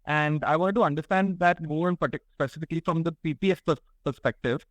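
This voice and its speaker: A man's voice: a little high, fast, fairly bright tone, no echo. Man asking direct probing questions. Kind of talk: earnings call question.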